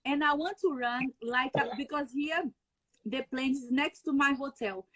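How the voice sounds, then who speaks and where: A woman's voice: high-pitched, normal speed, fairly bright tone, no room sound, poor audio. A woman from Brazil with a Brazilian Portuguese accent, chatting as a guest chef over a video call, laughing often and searching for words. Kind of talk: casual video-call chat.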